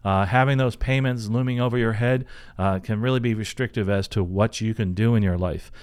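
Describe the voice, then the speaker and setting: A man's voice: on the low side, a little fast, darkish tone, clear, resonant tone. A man hosting a podcast, giving career advice and answering listener questions in an informal, chatty, conversational way. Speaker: podcast host and career coach.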